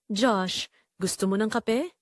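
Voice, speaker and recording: A woman voicing a gentle, quietly affectionate aunt in her late thirties in an audio drama, soft-spoken with hidden tenderness. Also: mid-range voice, slow, dry recording, clean studio sound. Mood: tender, caring.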